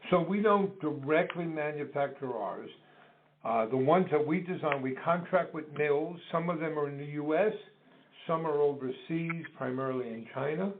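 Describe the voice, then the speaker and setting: A middle-aged or older man, explaining his business in an interview in measured, deliberate phrases. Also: on the high side, slight background noise. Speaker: business co-founder being interviewed. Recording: dull phone-like call audio.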